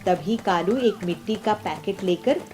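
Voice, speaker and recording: A woman's voice: mid-range voice, unhurried, darkish tone. A woman narrating a scripted children's story.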